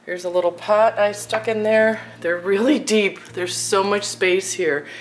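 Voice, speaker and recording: A woman's voice: mid-range voice, fairly even intonation, measured pace, a little hiss or hum. A woman giving a casual, admiring and exclamatory walkthrough of a house as a realtor, recorded indoors on a handheld camera. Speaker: realtor showing a home for sale. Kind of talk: casual walkthrough home tour narration. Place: indoors, inside a furnished house.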